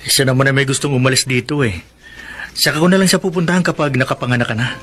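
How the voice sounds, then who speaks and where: An actor playing a protective, stubborn young husband in a Filipino radio drama, speaking earnestly and firmly. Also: male voice, mid-range voice, fast talker, a bit bright, lightly acted. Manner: earnest, firm.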